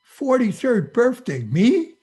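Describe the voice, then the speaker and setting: A man's voice: very high voice, huge pitch swings, slow, dark tone, faint music behind, exaggerated voice. An actor playing a cheeky, eager boy, perky and inquisitive, in an old-time radio comedy. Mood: eager, curious.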